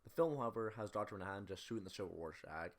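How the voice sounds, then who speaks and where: A man's voice: fairly low pitch, expressive, rapid-fire, over loud music. A man giving scripted film commentary as a video essayist, in a critical, opinionated manner.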